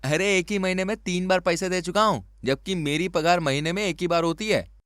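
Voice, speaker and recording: A man's voice: high-pitched, moderate pace, fairly bright tone, dry recording, clean studio sound, voice pushed up. A man playing a greedy, exasperated poor village husband in a cartoon story, sarcastic and grumbling. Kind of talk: Hindi cartoon story dubbing.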